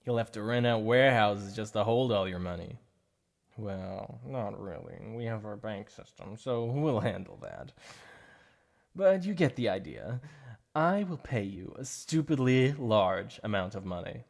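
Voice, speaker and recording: A man voicing a shy, obsessive male supervillain in an ASMR roleplay, soft-spoken and stammering over his slips of the tongue. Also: on the low side, animated, a little slow, creaky. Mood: coaxing.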